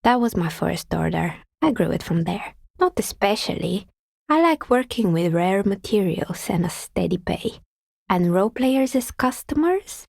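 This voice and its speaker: An actress playing a wry, world-weary artisan dicemaker in a video game, speaking in a dry, understated, matter-of-fact way with a husky, breathy voice. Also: female voice, mid-range voice, expressive, a little slow, a little mellow, no room sound. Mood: calm, assured. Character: wry, world-weary woman artisan who makes novelty dice. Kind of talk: video game character dialogue.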